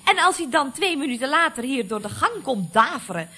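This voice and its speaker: A woman's voice: high voice, big pitch swings, brisk, bright, forceful tone, heavily acted. A woman playing a chatty, knowing office secretary in a radio comedy, confiding and mock-soothing. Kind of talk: 1970s Dutch radio comedy acting.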